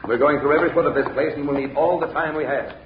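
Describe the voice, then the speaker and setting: An actor playing a firm, authoritative adventurer uncle in a 1940s radio drama. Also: male voice, fairly high pitch, close to an everyday voice. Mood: stern. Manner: authoritative, brisk reassurance. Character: firm, commanding uncle, heroic skeptical occult adventurer. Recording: muffled, noisy old radio recording.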